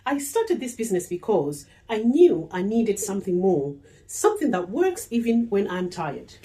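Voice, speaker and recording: A woman from Zimbabwe, a registered nurse, giving a calm, sincere promotional testimonial. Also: mid-range voice, normal speed.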